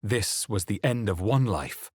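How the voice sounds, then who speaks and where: A man voicing a wry, brooding adventurer in a fantasy video game, with a measured, dry, dramatic delivery. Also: lowish voice, expressive, darkish tone, slightly rough, no echo. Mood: brooding, hushed. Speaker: player character voice in a fantasy role-playing video game. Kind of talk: video game voice lines, exploration remarks, spell chants and inward narration.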